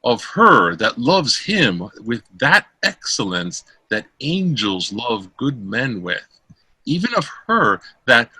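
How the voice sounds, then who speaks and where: A man reading Shakespeare verse drama aloud as an amateur, in a theatrical, declaiming manner with a husky voice. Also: mid-range voice, bright, forceful tone, no echo, low-quality recording. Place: close dry room, online call.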